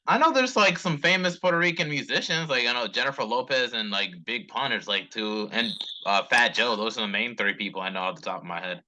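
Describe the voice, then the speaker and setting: Quick-talking bright-voiced male host. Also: medium pitch, rough recording. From Charlotte, North Carolina. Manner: fast, filler-heavy, enthusiastic. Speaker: podcast host. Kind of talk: casual interview chat.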